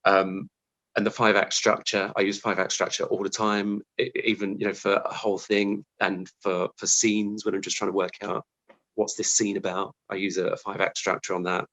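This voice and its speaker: A man's voice: lowish voice, level tone, normal speed, a bit bright. A man talking casually as an interview guest about his craft, thinking aloud and restarting his phrases as he goes.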